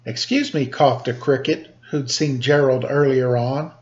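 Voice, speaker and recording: A man reading a children's picture book aloud in an expressive, deliberate storytelling style. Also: mid-range voice, a little hiss or hum. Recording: dull lo-fi sound.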